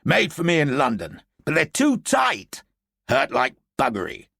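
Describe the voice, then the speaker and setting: A man reading an audiobook, voicing a blunt, irritable middle-aged British general in a gruff, brusque manner. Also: mid-range voice, huge pitch swings, unhurried, pushed and bright, no echo, clean studio sound, pitched above the performer's own voice, heavily acted.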